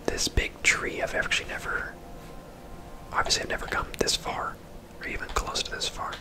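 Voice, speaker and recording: An ASMR video host whispering casual, rambling gameplay commentary in a breathy, unvoiced voice. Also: moderate pace. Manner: soft-spoken, rambling, casual.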